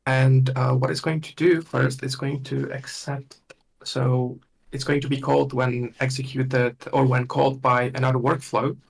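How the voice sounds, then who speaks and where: Gravelly, creaky-voiced man demonstrating software. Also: medium pitch, little pitch movement, moderate pace. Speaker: workshop instructor. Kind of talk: live software demonstration, thinking aloud.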